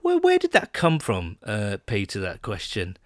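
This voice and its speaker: A man's voice: fairly low pitch, wildly swooping pitch, moderate pace, no echo, clean studio sound. A man co-hosting a podcast in casual chat, rambling and laughing as he reads out listener questions. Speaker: podcast co-host.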